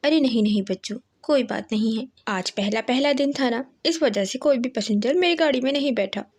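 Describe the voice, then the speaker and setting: A woman voicing a gentle, worried but hopeful widowed mother bird in a children's cartoon story, earnest and reassuring. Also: fairly high pitch.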